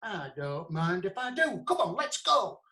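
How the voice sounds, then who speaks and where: A man's voice: high-pitched, sing-song, a little slow, bright, forceful tone, full character voice. Man putting on an emphatic, swooping pantomime queen. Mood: eager. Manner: emphatic, complaining. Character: exasperated, world-weary pantomime queen, female. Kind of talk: comic pantomime storytelling.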